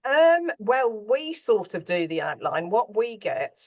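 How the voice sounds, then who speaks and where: A woman's voice: mid-range voice, sing-song, measured pace, a little mellow, lo-fi sound. A woman speaking as an interview guest, explaining her writing work in an informal, discursive, self-interrupting way.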